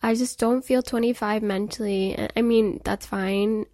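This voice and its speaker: A woman's voice: medium pitch, steady tone, a little slow, warm tone, dry recording, studio quality. A woman in her mid-twenties hosting a podcast, chatting informally in youthful, casual phrasing. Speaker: podcast host. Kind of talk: casual rambling podcast monologue on self-care.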